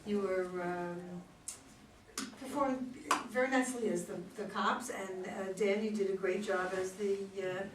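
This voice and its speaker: A woman's voice: medium pitch, fairly even intonation, unhurried. A woman leading a casual group discussion, hesitant and searching for her words. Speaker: discussion leader. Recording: echoey room, distant mic, clear noise.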